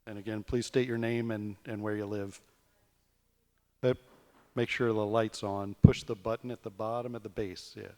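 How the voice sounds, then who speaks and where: A man's voice: on the low side, little pitch movement, moderate pace, darkish tone. A man chairing a city council meeting in a plain, procedural way. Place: council chamber.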